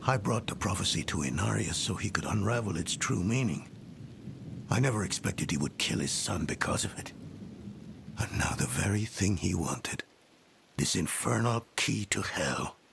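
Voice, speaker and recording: Brooding nobleman, low gravelly voice. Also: a bit bright, a little hiss or hum, full character voice. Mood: troubled. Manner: grave, measured, reflective. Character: brooding, world-weary older nobleman and former demon slayer. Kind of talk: dramatic fantasy game acting.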